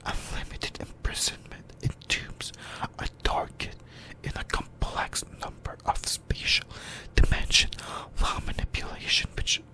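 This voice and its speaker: A person whispering breathily in a halting ASMR explainer about anime lore, often repeating phrases. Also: poor audio. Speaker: YouTuber, ASMR host.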